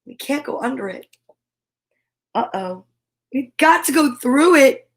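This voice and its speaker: High-voiced woman reading slowly to children. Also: expressive. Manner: sing-song repetition, dramatic exclamations, playful sound words. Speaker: host reading picture books aloud. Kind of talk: animated children's story read-aloud with casual asides.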